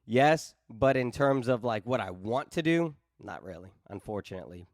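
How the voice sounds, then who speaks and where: A man's voice: mid-range voice, normal speed, no echo. Teasing man in his thirties, dry. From New England. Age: 30s. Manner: teasing, flippant, animated storyteller. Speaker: podcast host. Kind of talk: casual chat.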